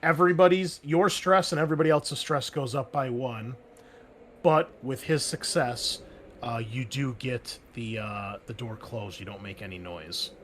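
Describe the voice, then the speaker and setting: A man's voice: mid-range voice, steady tone, normal speed, fairly bright tone, a little hiss or hum. Chatty man running a tabletop game. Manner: loose and chatty, full of "like" and "um" fillers. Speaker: game master.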